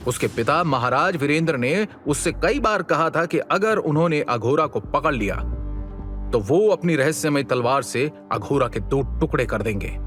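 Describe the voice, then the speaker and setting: A man with a fairly high voice narrates an audio drama as a scripted story. Light music plays under his dramatic storytelling. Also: fairly bright tone.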